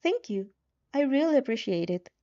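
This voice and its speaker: A woman's voice: high voice, sing-song, normal speed, mellow, muffled recording. A woman voicing a shy, earnest teenage boy who is a bashful, eager hero fan in a fan-made audio drama. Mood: touched, grateful. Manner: bashful, self-deprecating, eager.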